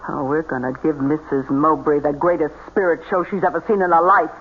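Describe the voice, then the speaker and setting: A woman's voice: sits low, fairly even intonation, husky. An actress playing a scheming, bossy female spirit medium in an old-time radio drama, with a commanding manner. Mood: scheming, brisk. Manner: commanding, slow hypnotic orders to her subject. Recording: noisy, tinny old radio broadcast.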